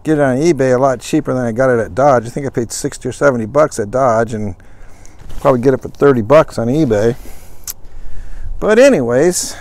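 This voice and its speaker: A man's voice: medium pitch, brisk, a little mellow. A man from Southern California giving a casual, folksy how-to walkthrough of his truck camper, rambling and correcting himself as he goes. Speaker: vlogger.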